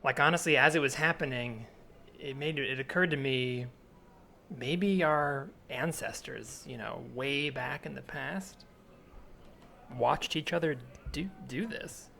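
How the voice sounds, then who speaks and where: A man's voice: medium pitch, measured pace, creaky, pushed and bright. A man improvising a helpful, awkward fellow shopper in a comedy scene, speaking hesitantly with oddly earnest politeness. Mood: earnest, uneasy. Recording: faint background noise, store soundscape.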